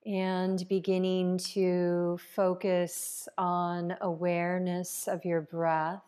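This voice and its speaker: A woman leading a guided meditation as a yoga nidra teacher, calm and unhurried, leaving long pauses between phrases. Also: on the low side, clear, resonant tone.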